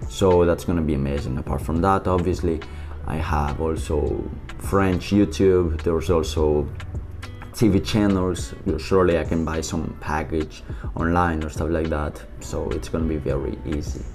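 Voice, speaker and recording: Young man, European Portuguese accent, very low voice, chatty solo vlogger, faint music bed. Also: fairly even intonation, darkish tone. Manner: chatty and upbeat, quick asides. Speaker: language-learning YouTuber. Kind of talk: casual solo vlog.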